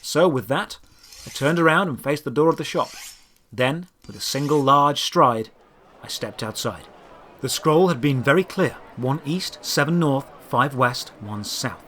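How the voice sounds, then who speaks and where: A man's voice: mid-range voice, lively intonation, unhurried, fairly bright tone, a little hiss or hum. A man narrating a scripted first-person adventure story, with outdoor ambience behind him. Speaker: narrator and creator of an audio drama.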